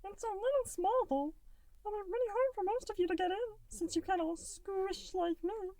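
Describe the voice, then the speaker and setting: A woman voicing a small, innocent, timid boy made of goo in a fan fiction audiobook reading, squeaky and whimpering. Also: moderate pace, warm tone, distant mic.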